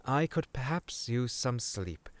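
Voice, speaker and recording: A man's voice: mid-range voice, expressive, slow, no echo. A man playing an eager, bashful, sleepy boy scholar in a fantasy audio roleplay, hesitant and politely formal. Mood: flustered, sleepy. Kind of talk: audio roleplay drama acting.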